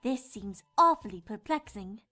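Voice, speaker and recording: A woman's voice: mid-range voice, animated, moderate pace, bright, forceful tone, soft background music. A woman voicing a refined, gracious lady unicorn with a genteel, dramatic manner in a cartoon story.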